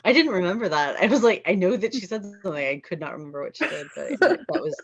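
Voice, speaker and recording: A woman with a low voice talks casually with a group. She is giggly, often laughing through her words. The audio is dull and lo-fi. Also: sing-song, quick pace. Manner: giggly, laughs while talking. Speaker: table read cast member.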